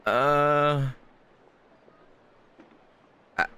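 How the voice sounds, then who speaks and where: A man's voice: on the high side, flat delivery, very slow, bright, forceful tone, clean tone. A man giving casual playthrough commentary as a gaming streamer, with laid-back, joking asides.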